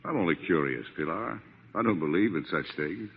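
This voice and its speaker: A man playing a calm, terse fighter among guerrillas in a restrained 1940s radio drama style. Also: sits low, brisk, slightly rough, full character voice. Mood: grave, probing. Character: calm, laconic English-speaking male fighter among guerrillas. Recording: tinny 1940s radio, reverberant hall.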